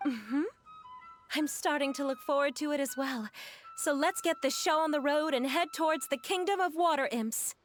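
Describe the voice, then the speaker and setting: A woman playing a gentle, polite young mother, earnest and measured, in a video game cutscene. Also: high-pitched, faint music behind, lightly acted. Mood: tender, hopeful.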